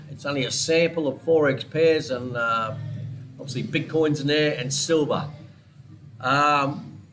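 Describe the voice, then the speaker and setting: A congested Australian man talks through his weekly trading charts in a loose, chatty way and wanders off into side topics. His voice sits at an ordinary middle pitch, and a faint hiss of room noise sits under it. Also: fairly bright tone. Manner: chatty, rambling, digressive, with small laughs. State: congested with a head cold. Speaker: forex trading educator. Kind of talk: casual weekly market analysis.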